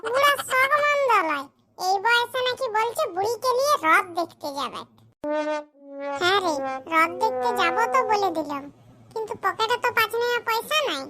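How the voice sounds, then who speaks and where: A performer voicing a squeaky, indignant young man in a comedy cartoon, bickering. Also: expressive, normal speed, sharp tone, heavily acted. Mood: scheming, bickering. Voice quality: squeaky, artificially high voice. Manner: scolding, bickering, rhetorical questions. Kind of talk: exaggerated comic cartoon dialogue in rural dialect.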